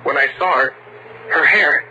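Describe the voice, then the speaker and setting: A man playing a jittery, insistent young American from a small town, excitable and earnest in 1940s radio style. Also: fairly high pitch, moderate pace, natural voice. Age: young adult. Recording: noisy old radio drama recording.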